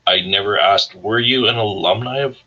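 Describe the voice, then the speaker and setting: A man with a husky voice hosting a casual interview show over an online call. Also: fairly low pitch, normal speed, dull audio. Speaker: host of a weekly music career show.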